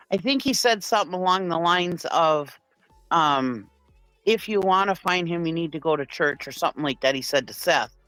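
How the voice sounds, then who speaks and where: A man's voice: a little high, sharp tone, no room sound, low-quality recording. A man on a live-stream panel, talking casually and reasoning things through in a conversational way. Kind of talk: casual true-crime reaction chat.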